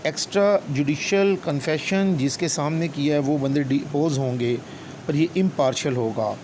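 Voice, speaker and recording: A man with a Pakistani accent giving an explanatory law lecture, deliberate and instructional, mixing in English legal terms. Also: a little high, poor audio. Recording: clear steady background noise, hum.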